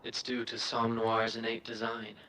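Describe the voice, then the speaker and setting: A man's voice: lowish voice, monotone, background noise, natural voice. A man playing a composed, enigmatic guardian of a dream realm, speaking formally in a video game. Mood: explanatory. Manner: measured, formal.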